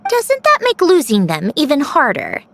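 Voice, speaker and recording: A woman playing an aloof, proud young woman in an anime-style video game, with a brisk, cool, skeptical manner. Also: high-pitched, wildly swooping pitch, slight background noise, full character voice. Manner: clipped, cool, dismissive.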